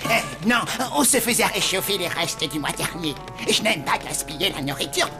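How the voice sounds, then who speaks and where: A woman's voice: on the low side, animated, normal speed, music in the background, lightly acted. A woman voicing a fussy, secretive monster cook in a cartoon, haughty and exclamatory. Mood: flustered. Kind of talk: French animated series voice acting.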